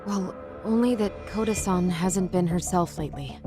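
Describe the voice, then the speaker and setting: A woman's voice: on the low side, moderate pace, over music, close to an everyday voice. An actress playing an earnest, worried female homeroom teacher in an English video game dub, proper, pointed and skeptical. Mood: reserved. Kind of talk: English-dubbed video game cutscene acting.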